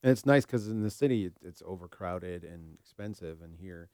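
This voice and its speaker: Man, deep voice, podcast co-host, casual, hesitant thinking aloud, dry sound. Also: normal speed, darkish tone, clean tone, no room sound. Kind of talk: casual chat.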